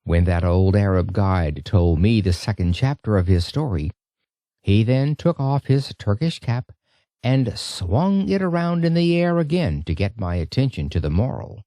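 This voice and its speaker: A man narrating an audiobook in a dramatic, expressive storytelling style. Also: lowish voice, unhurried, no room sound, studio quality.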